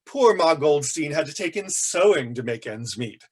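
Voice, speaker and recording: Man reading as a flamboyant, husky older raconteur. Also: fairly high pitch, sing-song. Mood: gossipy, mocking. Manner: arch, theatrical, camp, drawn-out emphasis.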